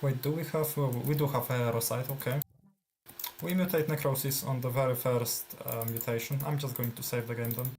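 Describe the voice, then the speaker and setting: A man's voice: mid-range voice, steady tone, fairly quick, darkish tone, a little hiss or hum. A man with a Polish accent, native Polish speaker, casually commenting on his own gameplay while thinking aloud with fillers. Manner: thinking aloud, many fillers and trailing phrases. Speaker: gameplay streamer.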